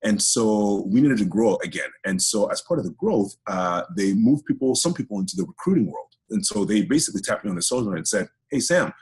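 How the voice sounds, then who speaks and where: Deep husky-voiced man, quick talk. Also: lively intonation, a little mellow. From Ghana, grew up in Greece. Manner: chatty, many restarts and uh fillers. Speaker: guest, HR director. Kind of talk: casual interview talk.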